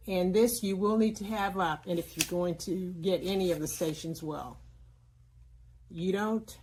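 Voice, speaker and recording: A woman giving a casual, explanatory product demonstration in plain words, often pausing mid-sentence. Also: lowish voice, vocal fry, darkish tone, husky. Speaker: product reviewer.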